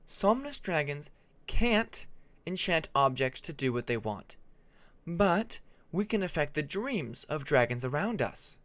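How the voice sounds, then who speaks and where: A man voicing a calm, blunt female dragon who explains things slowly and deliberately in a fantasy audiobook. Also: fairly high pitch, no room sound. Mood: calm, grave. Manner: deliberate, explanatory, drawn-out delivery.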